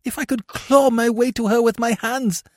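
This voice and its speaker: A grieving mother in her grave performed by a man pleads in a strained, very high voice. She is anguished and wailing, in old-fashioned storybook language, recorded dry. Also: steady tone, moderate pace, darkish tone, no echo. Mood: desperate. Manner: pleading, wailing, archaic speech. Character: anguished young dead mother crying for her baby. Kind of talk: dramatic storybook reading.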